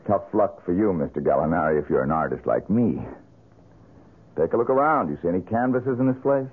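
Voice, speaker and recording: A down-on-his-luck male painter for an old radio play, with a low voice that swings widely in pitch. He is gruff, sullen and bitter, answering curtly. The recording is a narrow, muffled vintage broadcast. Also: animated, brisk. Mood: gruff, suspicious. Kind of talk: 1970s radio drama acting.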